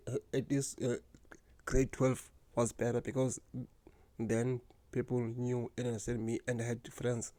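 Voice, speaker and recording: A man telling his own story in a slow, earnest, reflective way, stuttering often with repeated words and restarts. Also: mid-range voice, warm tone. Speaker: speaker sharing lived experience. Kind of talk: personal reflective monologue.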